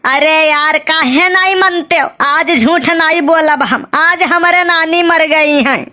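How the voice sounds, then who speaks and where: A whiny cartoon debtor from the village voiced by a woman, always protesting and dodging in an Awadhi accent. The voice is very high and sharp, with slow, stretched-out delivery. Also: full character voice. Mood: evasive. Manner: evasive, protesting. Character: evasive, whiny village debtor full of excuses. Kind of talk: exaggerated comic cartoon acting.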